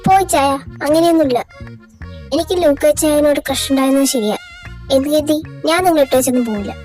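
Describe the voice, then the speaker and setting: A woman's voice: sits high, fast, darkish tone, faint music behind, voice pushed up, natural voice. A woman voicing an anxious teenage girl, a little sister who pleads and teases in an audio drama. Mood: shy teasing. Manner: pleading, then teasing. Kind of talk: amateur audio drama voice acting.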